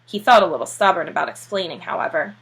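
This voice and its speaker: A woman narrating a children's storybook aloud like a teacher, with expressive delivery. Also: fairly low pitch, fast, creaky, breathy edge, rough recording.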